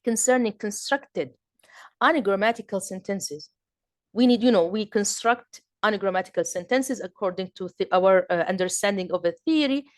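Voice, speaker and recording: A woman's voice: mid-range voice, normal speed, dry recording, studio quality. A woman from southern Jordan with a Jordanian Arabic accent, giving an academic lecture in a hesitant way, with many fillers and restarts. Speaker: linguistics lecturer.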